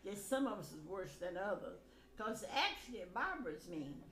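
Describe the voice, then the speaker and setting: A woman's voice: mid-range voice, big pitch swings, moderate pace, fairly bright tone. An older woman, a grandmother, casually recounting family stories in a rambling, blunt way, acting out conversations as dialogue. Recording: echoey room, background noise.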